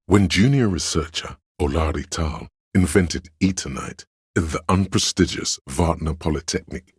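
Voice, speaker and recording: A man playing a learned, detached inner voice of trivia in a video game, narrating in a measured, erudite, wry way with a smooth, resonant tone. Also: extremely low pitch, no echo, clean studio sound, exaggerated voice.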